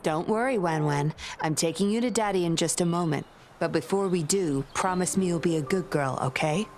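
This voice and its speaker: A woman's voice: lowish voice, normal speed, darkish tone, slight background noise, natural voice. A woman playing a cool, self-assured undercover investigator in a game, composed, knowing and a little teasing. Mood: gentle.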